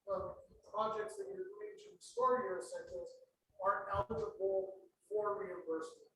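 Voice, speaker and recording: A man explaining procedures at a community meeting in a measured, hesitant way, with many fillers. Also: sits high, fairly bright tone, slight background noise. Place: library community center meeting room. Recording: very echoey room, far from mic.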